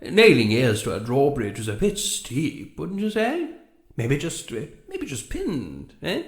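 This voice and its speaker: A man's voice: medium pitch, huge pitch swings, unhurried, echoey room. A man playing a timid, bumbling, apologetic king in a comedy audio drama, stammering and flustered as he rambles. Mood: placating, hesitant.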